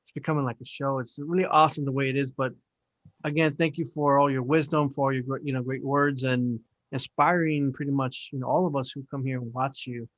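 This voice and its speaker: A man's voice: mid-range voice, steady tone, a little fast, a bit bright, no room sound, poor audio. A man in his forties hosting a casual podcast chat, talking in a rambling, upbeat way with lots of fillers. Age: 40s. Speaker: podcast host.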